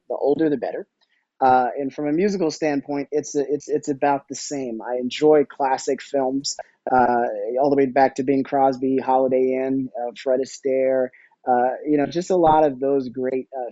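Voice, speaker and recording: Middle-aged male interview guest, mellow and reflective, halting delivery, dry and slightly dull sound. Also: mid-range voice, no echo. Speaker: podcast guest. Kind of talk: conversational interview.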